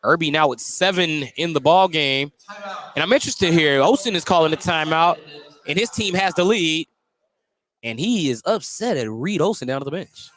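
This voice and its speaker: A man's voice: on the high side, expressive, normal speed, fairly bright tone. A man doing live play-by-play basketball commentary on radio, calling each play in clipped, descriptive phrases. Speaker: play-by-play announcer. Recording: noisy live sports broadcast.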